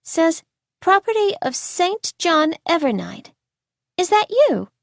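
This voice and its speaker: A woman acts out a curious little girl from a storybook, pitched high. She is prim, chatty and sure of her opinions, in a dry, close recording. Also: very animated, takes it slowly, vocal fry, dry recording, full character voice. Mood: bright, opinionated. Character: bright, inquisitive, forthright young girl.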